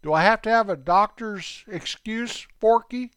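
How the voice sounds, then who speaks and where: A man's voice: sits high, a little slow, a bit bright, clear, resonant tone, no echo, clean studio sound, pitched above the performer's own voice. A man doing wide-eyed boy Earl. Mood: curious. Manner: ends nearly every sentence with his pal's name. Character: wide-eyed young boy Earl, easily impressed tagalong.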